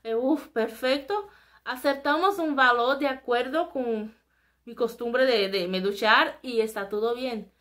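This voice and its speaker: A woman's voice: on the high side, measured pace. A young woman from Brazil speaking Spanish with a Brazilian Portuguese accent, chatting to camera in a casual, self-mocking vlog from a small room. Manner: chatty, self-mocking, animated faces and gestures. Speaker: vlogger. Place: small indoor room before a flag.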